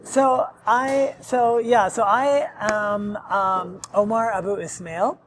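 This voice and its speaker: A man's voice: high voice, fairly even intonation, slow, fairly bright tone, slight background noise. A man who grew up in Nigeria in a Lebanese family, a restaurant owner giving earnest, rambling interview answers with many ums, recorded in a restaurant. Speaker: restaurant owner, podcast guest.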